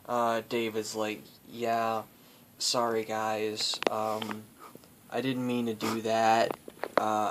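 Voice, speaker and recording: Halting male storyteller, noisy recording. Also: medium pitch. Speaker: storyteller, host. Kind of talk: casual improvised storytelling.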